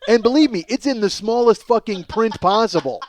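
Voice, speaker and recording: A man's voice: high-pitched, fairly quick, a little hiss or hum. A middle-aged American man delivering a casual, profane comedic monologue as a podcast host, exasperated and self-mocking, addressing his listeners as folks.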